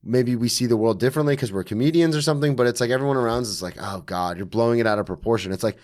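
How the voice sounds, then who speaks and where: A man in his thirties hosting a podcast in casual chat, rambling into quick asides. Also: medium pitch, a little mellow. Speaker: podcast host.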